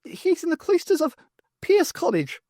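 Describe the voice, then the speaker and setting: A man voicing a small furry alien professor, calmly prophetic and courteous, in measured matter-of-fact pronouncements for an audiobook. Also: extremely high pitch, no echo, clean studio sound, voice pushed up, full character voice. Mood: helpful, cryptic. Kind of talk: audiobook character voice.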